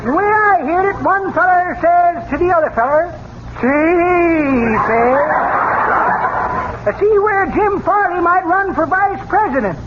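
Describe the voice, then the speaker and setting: Old-timer egg peddler for radio comedy, cheery and folksy, pitched extremely high, muffled old broadcast sound. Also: male voice, lively intonation, measured pace, heavy background noise. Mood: folksy, joking. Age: older. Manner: folksy, drawling, hard-of-hearing old codger. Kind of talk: 1930s radio comedy acting.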